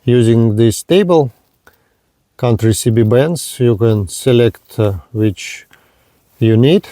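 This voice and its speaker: Slow-talking man demonstrating gear, dry recording. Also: mid-range voice, lively intonation, dark tone, no echo. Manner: halting, simple step-by-step phrasing. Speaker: product reviewer. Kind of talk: hands-on demonstration narration.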